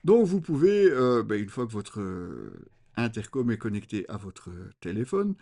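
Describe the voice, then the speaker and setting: A man giving an unscripted, conversational product review, explaining things to viewers with frequent hesitant pauses. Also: lowish voice, wildly swooping pitch, creaky, dark tone. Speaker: motorcycle gear reviewer.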